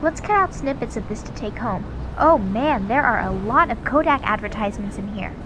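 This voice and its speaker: A woman's voice: a little high, expressive, moderate pace, background noise, close to an everyday voice. A woman playing an enthusiastic, inquisitive young girl in a scripted radio drama. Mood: interested.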